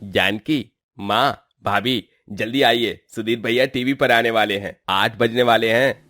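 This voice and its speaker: A man's voice: mid-range voice, measured pace, pushed and bright, no echo, clean studio sound. A man playing an eager, insistent younger brother in a dramatized moral story. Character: eager younger brother, excitable and later envious.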